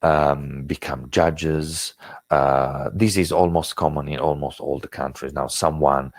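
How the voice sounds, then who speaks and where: A man with an Italian accent presents an online lecture, his very low voice thick with gravel and a husky edge. He hesitates often, filling gaps with ums and restarting his sentences. The sound is close and dry, with no room around it. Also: little pitch movement, unhurried. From Italy. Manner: hesitant, many fillers and restarts. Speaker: webinar presenter.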